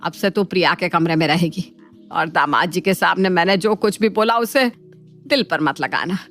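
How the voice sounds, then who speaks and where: A woman's voice: lowish voice, normal speed, a bit bright. A woman voicing a scheming, middle-aged cartoon mother-in-law who scolds, coaxes and dotes on her daughter. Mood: doting. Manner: scolding, coaxing, commanding. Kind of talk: Hindi cartoon family drama acting. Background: faint background music.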